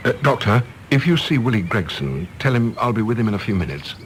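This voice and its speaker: Earnest, animated middle-aged gentleman. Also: fairly low pitch, fairly quick, a little hiss or hum. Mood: placating. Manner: formal, pressing, wordy. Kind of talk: radio play acting.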